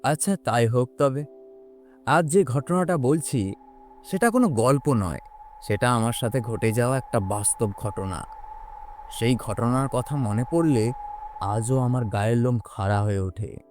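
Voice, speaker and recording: A man playing a young man who recounts his own eerie experience in an expressive, suspenseful audio horror drama. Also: medium pitch, a little slow, faint music behind, natural voice. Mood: suspenseful, earnest. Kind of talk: dramatic first-person audio horror storytelling.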